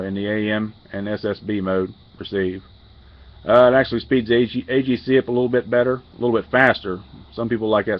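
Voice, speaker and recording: A man giving a casual hands-on technical walkthrough as a radio hobbyist, halting and matter-of-fact, picked up on a handheld camera mic. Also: on the low side, muffled recording. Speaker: amateur radio hobbyist demonstrating a modified radio.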